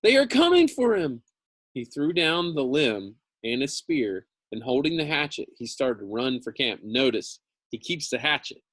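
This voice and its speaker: A man's voice: mid-range voice, lively intonation, normal speed, fairly bright tone, no room sound. A man reading a novel aloud like a teacher, with dramatic emphasis and casual, informal asides. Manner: dramatic emphasis, repeats phrases, informal commentary.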